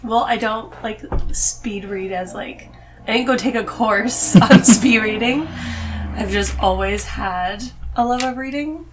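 A woman's voice: on the high side, a little slow, music in the background, low-quality recording. A woman talking casually as a guest on a podcast. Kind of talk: casual chat.

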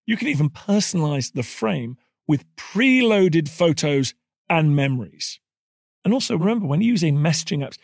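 A man's voice: a little high, gravelly, no echo, clean studio sound. A man presenting an advertisement in a conversational, scripted read.

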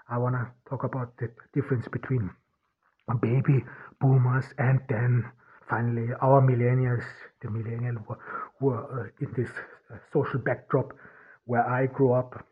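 A man whose first language is German speaks in a flat, husky voice, stopping and restarting his sentences over and over. His level tone barely rises or falls as he searches for words. The sound is thin and tinny, like a phone line. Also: medium pitch. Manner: halting, many restarts and repeated words. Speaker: podcast host. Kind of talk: solo podcast monologue.

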